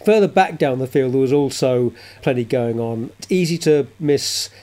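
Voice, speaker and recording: A man co-hosting a motorsport chat, measured and analytical as he talks through the race. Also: medium pitch, a little mellow. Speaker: co-host. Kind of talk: sports commentary chat.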